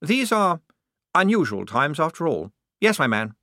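A man's voice: on the high side, sing-song, a little slow, bright, forceful tone, no echo, clean studio sound, pitched above the performer's own voice, heavily acted. A man voicing a nervous, pompous but well-meaning army lieutenant in an audiobook, speaking in a politely chipper, hesitant way. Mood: polite, firm.

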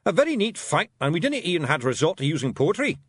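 A brash little pixie chief barks orders in a thick Scottish accent, as a man voices him. His voice is pushed up high and swings wildly, with a dry, close sound. He is loud, boastful and bossy. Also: sing-song, quick pace, breathy edge, no room sound. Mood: blustering. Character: boisterous tiny male pixie clan leader.

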